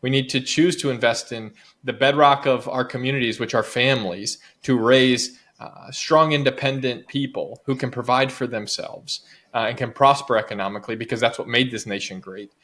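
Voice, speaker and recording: A man's voice: mid-range voice, normal speed, fairly bright tone. A man appearing as a guest author, making an earnest, persuasive argument that builds its points through repetition. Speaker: guest author and advocacy director.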